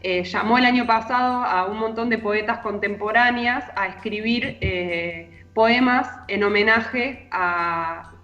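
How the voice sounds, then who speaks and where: A woman's voice: medium pitch, measured pace, hardly any pauses, a bit bright, muffled recording. A woman with a Rioplatense Argentine accent, talking conversationally as a literary columnist on a radio show. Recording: roomy echo, music underneath.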